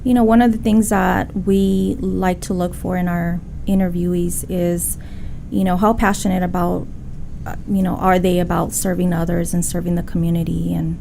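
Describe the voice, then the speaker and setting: A woman's voice: fairly low pitch, little pitch movement, moderate pace, vocal fry. Woman, spanish her first language, real estate broker on a podcast, says "you know" a lot, faint noise. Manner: warm, frequent "you know" fillers. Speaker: designated broker. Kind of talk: podcast interview guest.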